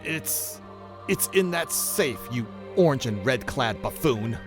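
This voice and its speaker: A man's voice: medium pitch, expressive, takes it slowly. Guy doing a taunting villain boss for a radio play, super theatrical, voice jumps all over, faint music. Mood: nervous, hurried. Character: menacing, sneering adult male crime-boss villain. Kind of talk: melodramatic old-time comic-book radio drama acting.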